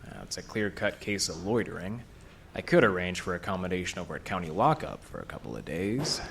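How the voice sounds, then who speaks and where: An actor playing a wry, slangy hard-boiled detective with a soft heart, in noir radio drama style. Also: male voice, sits low, very animated, a little fast, gravelly, slight background noise, heavily acted. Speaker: lead actor and narrator.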